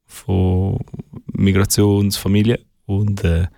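A man with a Zurich Swiss German accent talks as a guest on a radio show. His voice sits low with a gravelly edge, and it sounds dry and close to the mic. He answers in a thoughtful way. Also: completely flat delivery, takes it slowly, warm tone, dry recording. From Zurich, Switzerland. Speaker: guest singer. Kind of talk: radio interview. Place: radio studio.

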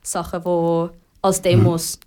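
Young swiss german woman, english is her first language, lowish voice, lots of ums, talks about her songs. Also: monotone, takes it slowly, dark tone, smooth. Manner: hesitant, many fillers, mixes in English words. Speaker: singer-songwriter guest. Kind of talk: casual radio interview.

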